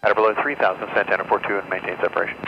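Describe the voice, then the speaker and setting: A man's voice: lowish voice, little pitch movement, rapid-fire. A man making pilot radio calls to air traffic control over an aircraft radio. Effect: aircraft radio transmission. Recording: muffled, noisy aircraft radio.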